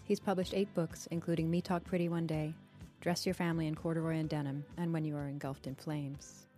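Composed, mellow woman hosting a podcast. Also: deep voice, flat delivery, fairly quick, faint music behind. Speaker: podcast host. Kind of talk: scripted podcast introduction and literary interview.

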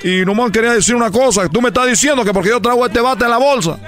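Male comic as a boastful Cuban ballplayer with a put-on Cuban accent, high-pitched, teasing, faint music underneath. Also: fast, a bit bright. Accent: Cuban Spanish put on. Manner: joking, teasing, rambling. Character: boastful Cuban baseball player man, cocky.

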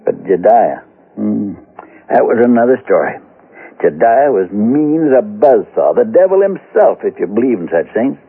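Rustic American ex-lawman, folksy radio-western storyteller, rough-edged voice, thin vintage radio sound. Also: fairly low pitch, very animated, moderate pace, heavily acted. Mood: folksy, grave. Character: folksy former frontier sheriff telling a grisly tale. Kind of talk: 1970s radio western acting. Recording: muffled, phone-like old radio recording.

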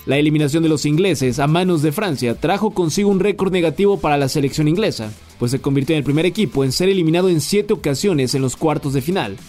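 A man's voice: a little high, soft background music. Man reading sports news headlines. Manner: brisk, even headline delivery.

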